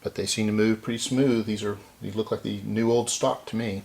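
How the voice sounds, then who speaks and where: A man's voice: fairly low pitch, steady tone, brisk, gravelly, a little mellow. A man giving a casual hands-on repair walkthrough, unscripted and thinking aloud as he works. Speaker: repair channel host.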